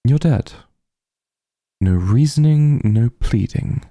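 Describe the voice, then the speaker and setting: A man voicing a possessive, teasing vampire mafia heir in an intimate ASMR roleplay, husky and gravelly, with a condescending drawl. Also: medium pitch, expressive, takes it slowly, mellow, no room sound. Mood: grave warning. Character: possessive, teasing male vampire mafia heir, coolly menacing yet doting.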